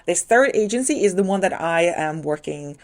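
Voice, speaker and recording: Woman vlogger, thirties, non-native English speaker from Ukraine; low-pitched, chatty and animated. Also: moderate pace. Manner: chatty and lively, with sudden rises in pitch for emphasis. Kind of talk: personal vlog storytelling.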